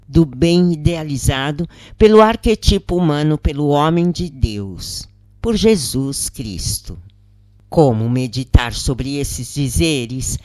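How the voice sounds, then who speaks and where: A woman with a Brazilian accent reading a first-person account as a measured, expressive audiobook narrator. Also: deep voice, no room sound.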